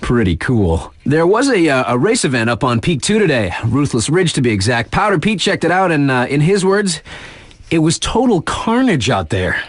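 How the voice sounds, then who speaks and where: A video game radio DJ for a snowboard resort, with a fairly high male voice that swings freely up and down. He is laid-back and wry, delivering slangy, conversational bulletins. The sound is dry and studio-close. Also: big pitch swings, moderate pace, no room sound. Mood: wry, casual. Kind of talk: casual in-game radio announcements.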